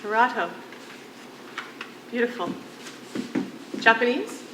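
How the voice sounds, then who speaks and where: An actress playing a gentle, grieving widowed mother held captive, emotive and theatrical in a stage play. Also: fairly high pitch, expressive, slow. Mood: warmly curious. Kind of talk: live one-act stage play. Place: theatre stage, recorded from a distance. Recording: echoey, distant stage recording with faint noise.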